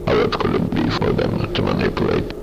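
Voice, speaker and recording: A man whispering a first-person horror diary narration in a low, scripted delivery. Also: flat delivery, fairly quick, vocal fry, muffled recording. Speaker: narrator of a found-footage video diary. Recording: loud music under the voice.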